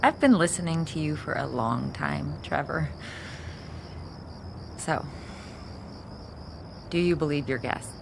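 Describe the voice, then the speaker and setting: A woman playing a grieving, wry hospice nurse in a naturalistic audio drama, confiding in a halting, candid way. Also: low voice, gravelly, noisy background, exaggerated voice. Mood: wry, soft. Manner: halting, confiding, dry-humored.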